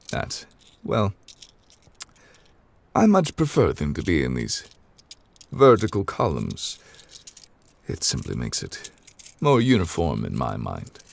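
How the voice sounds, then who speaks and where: A man's voice: no room sound, full character voice. A man voicing a courteous, absent-minded ancient god in human form for an ASMR roleplay, speaking formally and wordily with polite apologies. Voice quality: very low, creaky. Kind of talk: ASMR character roleplay, improvised.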